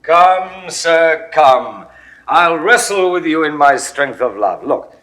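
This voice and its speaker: Ardent Roman commander, classic radio-play delivery. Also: male voice, a little high, lively intonation, takes it slowly. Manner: declamatory, forceful. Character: passionate Roman general. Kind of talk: 1960s studio radio play acting.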